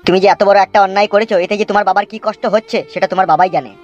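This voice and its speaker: With faint music behind, a man acts a cartoon village doctor who advises and lectures in a placating way. His speech comes very fast. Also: high voice, steady tone, lo-fi sound, close to an everyday voice. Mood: admonishing.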